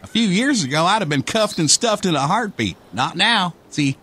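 A man's voice: high-pitched, sing-song, normal speed, bright, forceful tone, slightly rough, heavily acted. A man playing a brash, wisecracking redneck with an in a comic radio play. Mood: wry, weary. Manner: folksy, wisecracking, exasperated. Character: brash, cranky redneck everyman, last human alive.

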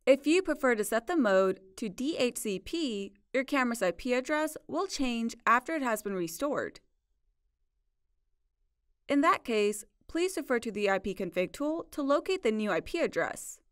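A woman narrating a scripted software tutorial in a measured, instructional way. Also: a little high, lively intonation, no room sound, studio quality. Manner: clear, measured instructional delivery. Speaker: support video narrator.

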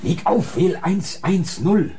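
An actor playing a fussy, pedantic long-serving castle caretaker in a German radio crime drama. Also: male voice, high voice, expressive, takes it slowly, dark tone. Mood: alarmed.

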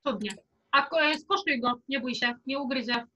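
High, bright young woman teaching cooking. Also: moderate pace, dull audio, a little hiss or hum. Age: young adult. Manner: informal, encouraging, chatty. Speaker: cooking instructor. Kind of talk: casual live cooking workshop. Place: home kitchen, indoors.

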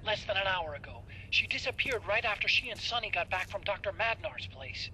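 A man's voice: high voice, brisk, sharp tone, rough recording. A man playing an anxious, earnest tech sidekick in a video game cutscene. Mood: worried. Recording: faint noise, vehicle-like ambience.

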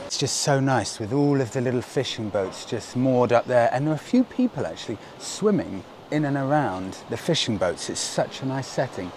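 A man's voice: medium pitch, big pitch swings, moderate pace, hardly any pauses, background noise. A man in his thirties chatting enthusiastically to camera on a lapel mic, touring a seaside village and cafe. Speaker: travel vlogger. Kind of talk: casual travel vlog to camera. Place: outdoors on a seaside promenade and in a cafe. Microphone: clip-on lapel mic.

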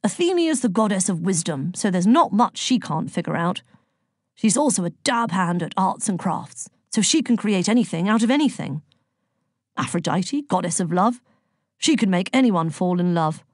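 A woman voicing an amiable, old-fashioned male Greek god in a storybook, genial and gentle with quaint British turns of phrase. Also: lowish voice, lively intonation, moderate pace, breathy edge, dry recording, clean studio sound. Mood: amiable, chatty. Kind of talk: audiobook character dialogue.

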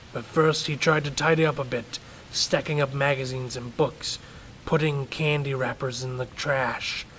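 A man's voice: medium pitch, a bit bright, a little hiss or hum, poor audio. A man reading a scripted horror story as its narrator.

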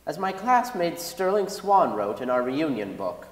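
A man's voice: a little high, big pitch swings, unhurried. A young man giving a scripted dramatic reading of an essay on a concert hall stage. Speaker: dramatic reader. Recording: echoey hall, distant from the mic.

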